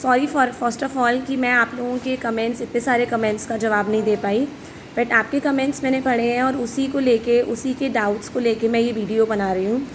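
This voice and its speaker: Hoarse teacher lady, bit high voice, going thru viewer questions, slight hiss behind. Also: steady tone, moderate pace, rough recording. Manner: mixes English into Hindi, explanatory and direct. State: hoarse from shouting at school. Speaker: teacher and channel host. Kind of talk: explaining answers to viewer questions.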